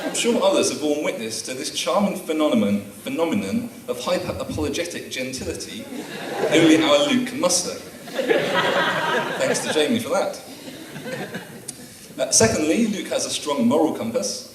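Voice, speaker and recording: A man's voice: on the high side, expressive, normal speed, heavy background noise. A man giving a best man's speech at a wedding, with dry, teasing humour read from his prepared notes. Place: wedding reception room. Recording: echoey room taped from far off.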